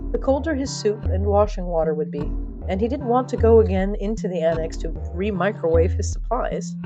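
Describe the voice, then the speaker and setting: A woman narrates a comic fantasy tale with a wry, theatrical delivery. Her pitch swings in an animated way as she slips in pointed asides to the listener. Guitar music plays clearly behind her. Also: muffled recording. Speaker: storyteller of a fiction podcast.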